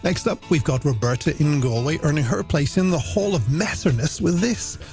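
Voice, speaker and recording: A man's voice: on the high side, lively intonation, normal speed, vocal fry, darkish tone. An Irish man presenting a late-night radio music show in a playful, theatrical, scripted way with flowery phrasing.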